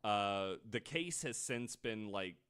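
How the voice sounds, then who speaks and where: A man's voice: lowish voice, animated, takes it slowly, fairly bright tone, smooth. A man podcast host telling a story in a casual, informal way with some swearing. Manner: informal, profane.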